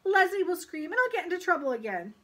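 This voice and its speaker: A woman voicing an excitable, earnest schoolboy in a storybook, protesting while torn by temptation. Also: very high-pitched, quick pace, voice pushed up, exaggerated voice. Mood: anxious protesting.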